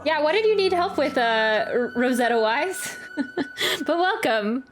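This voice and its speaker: A young woman hosting a game livestream in a fairly high voice. She chats casually with viewers in a friendly, giggly and apologetic manner, with faint music in the background. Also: expressive, a little slow.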